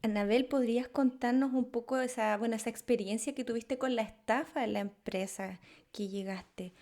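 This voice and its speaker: A woman speaks as an interviewer, posing questions in a conversational way. Her voice is somewhat higher than average. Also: fairly even intonation, moderate pace, a little mellow.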